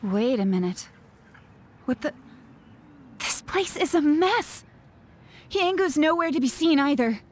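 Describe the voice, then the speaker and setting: A woman playing an excitable young villager in a video game, exclamatory and dramatic. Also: sits high, unhurried, pauses often, a little hiss or hum. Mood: dismayed. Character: excitable young villager, high-voiced and expressive. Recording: background music under the voice.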